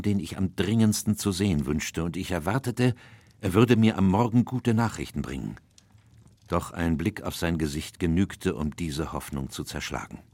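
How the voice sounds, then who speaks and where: An actor playing a loyal, worried Victorian gentleman in a German radio crime drama, earnest and measured, with a husky voice. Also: low-pitched, no echo. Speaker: narrator and character in detective radio play.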